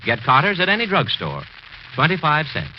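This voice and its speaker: A man's voice: on the high side, huge pitch swings, moderate pace, dull audio, soft background music. A man announcing a scripted 1940s radio advertisement on an old, noisy recording.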